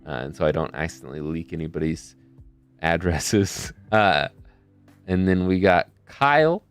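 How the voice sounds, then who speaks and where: A man's voice: low-pitched, normal speed, clean tone, soft background music. A young man hosting a charity live stream in a casual, rambling way, often losing his train of thought. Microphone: desk mic close to a face camera.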